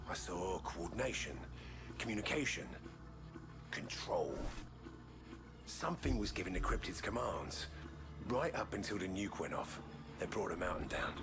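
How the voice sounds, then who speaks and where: An actor playing a menacing, calculating mastermind in a video game, narrating gravely and often sinking toward a near whisper. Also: male voice, low voice. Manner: measured, ominous, dropping to near whisper. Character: menacing, calculating male mastermind, ex-bioweapons program, grave storyteller. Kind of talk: dramatic scripted narration. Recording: loud music behind the voice. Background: cinematic game music.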